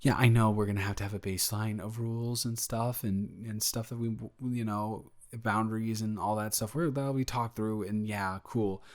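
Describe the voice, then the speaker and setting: A man playing a smitten, playful young roommate in an improvised romantic audio roleplay, talking in a halting, self-correcting, jokey ramble. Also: on the low side, fairly even intonation, lightly acted. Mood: smitten, flustered.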